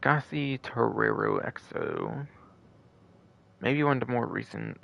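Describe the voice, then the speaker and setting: A man's voice: mid-range voice, measured pace, vocal fry, fairly bright tone, lo-fi sound. A man hosting a game livestream with casual commentary, rambling and often repeating and second-guessing himself.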